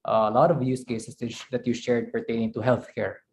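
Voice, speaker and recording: Chatty webinar moderator, faint noise. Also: male voice, medium pitch, flat delivery, brisk, a little mellow. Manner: chatty, many fillers and restarts.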